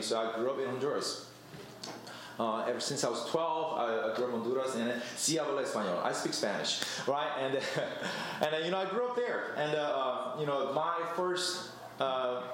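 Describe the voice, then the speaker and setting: A man's voice: mid-range voice, a little hiss or hum. Young male preacher in an echoey room. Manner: chatty, storytelling, laughs at himself. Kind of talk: conversational sermon.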